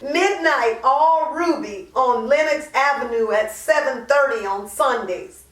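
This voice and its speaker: A woman performing a dramatic monologue as an anguished, guilt-ridden mother pleading to God, impassioned and drawn-out. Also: high voice. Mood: anguished confession. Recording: roomy echo, distant microphone.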